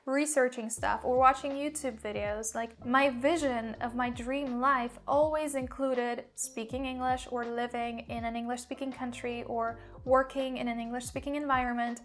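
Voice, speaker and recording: A woman's voice: on the high side, steady tone, normal speed, few pauses, fairly bright tone. A young woman with a slight Polish accent, a language mentor talking earnestly about her own learning, with lots of "like" fillers. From Poland. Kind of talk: personal vlog monologue.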